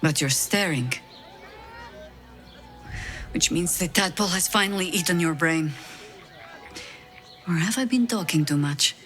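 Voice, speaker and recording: A woman's voice: on the low side, lively intonation, fairly bright tone. A wry, weary veteran adventurer woman. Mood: teasing. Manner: wry, measured, self-deprecating. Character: weary, wry veteran high-ranking woman harper agent. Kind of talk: video game dialogue acting, reflective storytelling.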